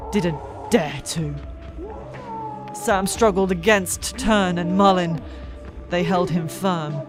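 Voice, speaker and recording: Slow, dramatic fiction narrator. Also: mid-range voice. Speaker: audiobook narrator. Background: soft background music.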